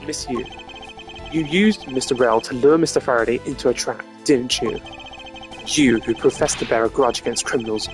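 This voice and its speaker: Woman doing a low, stern young male prosecutor. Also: faint music behind. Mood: accusing. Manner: measured, formal, accusing. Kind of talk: game character voice acting.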